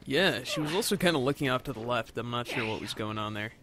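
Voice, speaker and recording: A man adds casual, droll banter to a video game session, often mock-genteel in his phrasing. His voice has a middling pitch. Faint game ambience is heard behind him. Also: lively intonation, fast, background noise. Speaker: let's play co-commentator.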